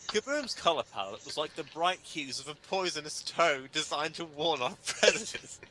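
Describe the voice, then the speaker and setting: A man's voice: fairly high pitch, lively intonation, moderate pace, heavy background noise. A man giving a dramatic comedic reading of an article, with an emphatic, mock-indignant, sardonic delivery. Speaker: reader performing a humor article.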